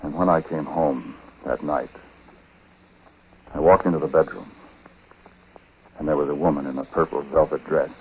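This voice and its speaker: A very deep-voiced troubled, remorseful husband tells his story in a halting, conversational manner. It is 1940s radio drama, on a dull recording with light noise. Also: steady tone. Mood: brooding confession. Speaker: lead actor and narrator. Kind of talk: 1940s radio acting, first-person confessional narration.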